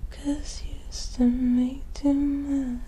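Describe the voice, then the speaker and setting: A woman with a soft, breathy, whispery voice, gentle and drowsy, in a sleepy ASMR style. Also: sits high, flat delivery, slow, a little hiss or hum. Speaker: ASMR performer.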